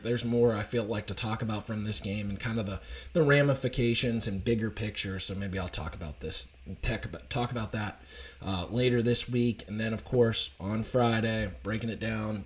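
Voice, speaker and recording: A man with a slightly raspy, hoarse voice, giving an enthusiastic, rambling casual recap of a college football game. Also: fairly low pitch. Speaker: college football fan commentator.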